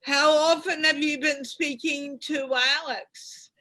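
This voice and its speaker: Woman, host, slightly high voice, slow, deliberate, encouraging. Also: fairly even intonation, bright, forceful tone. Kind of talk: interview on a video call.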